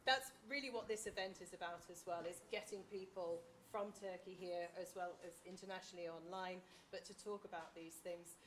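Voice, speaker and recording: A woman moderating an audience Q&A at a conference, brisk and organizing. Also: lowish voice, steady tone, slight background noise. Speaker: event moderator. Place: conference hall.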